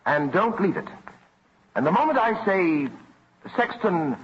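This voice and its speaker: Stubborn polite militia captain, old radio drama, very varied intonation, bit of hiss. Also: male voice, high voice, sing-song. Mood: conspiratorial, commanding. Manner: measured, courteous, firm. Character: cool, stubborn colonial militia captain, quietly scheming. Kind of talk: 1940s radio acting. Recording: muffled, noisy old radio recording.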